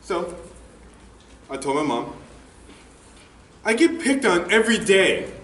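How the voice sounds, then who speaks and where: A high, animated boy's voice acted by a young man carries from a distance in an echoey theater. He is plaintive and exasperated, pausing for effect in a comic stage monologue. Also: full character voice. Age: teenager or young adult. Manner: deliberate, plaintive, dramatic pauses. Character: aggrieved, exasperated boy who hates his own name. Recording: echoey theater, distant mic, faint noise.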